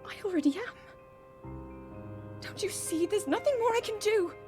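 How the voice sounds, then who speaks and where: A young woman voicing an earnest young princess who is burdened by duty and doubts herself, speaking softly in a game cutscene. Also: very high-pitched, expressive, unhurried, over music. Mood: pleading. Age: young adult. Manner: soft, pleading, searching. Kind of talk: video game cutscene voice acting.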